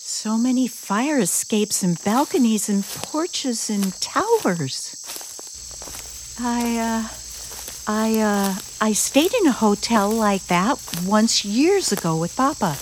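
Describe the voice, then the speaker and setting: An actress playing a naive, romantic, anxious newlywed bride in an old-time suspense radio drama, with gushing, halting speech. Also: female voice, fairly high pitch, animated, a little hiss or hum, rough recording. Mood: awed, uneasy.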